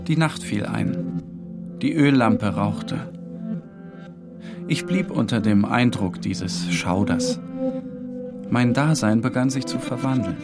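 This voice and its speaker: Male narrator, very slow delivery, solemn, dramatic pauses, literary audiobook, clear music underneath. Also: mid-range voice. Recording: music behind the voice.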